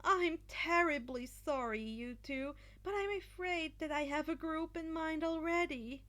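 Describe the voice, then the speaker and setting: A woman's voice: high-pitched, unhurried, pushed and bright, pitched above the performer's own voice. A woman voicing a haughty, sassy teenage boy student with mock-polite, condescending phrasing in a fan fiction reading. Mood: sassy refusal. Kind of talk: fan fiction podfic character voice.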